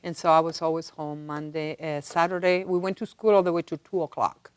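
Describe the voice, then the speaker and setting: A woman from Spain speaks as a guest in a casual interview. Her pitch is a little low. The sound is dry and close, as in a studio. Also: flat delivery, a little fast, smooth, no echo. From Madrid, Spain. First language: Spanish. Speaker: interview guest, university director.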